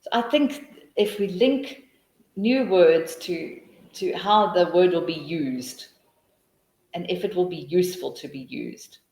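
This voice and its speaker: South african lady thinking out loud in a webinar, lots of restarts, lowish voice. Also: slow pace, echoey room, low-quality recording. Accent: South African English. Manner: rambling, thinking aloud with many restarts. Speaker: teacher trainer. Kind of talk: webinar talk answering questions.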